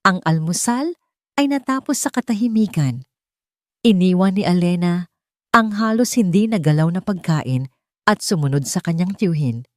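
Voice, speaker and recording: A woman's voice: on the low side, animated, moderate pace, no room sound, clean studio sound. A woman reading a scripted dramatic story as its narrator.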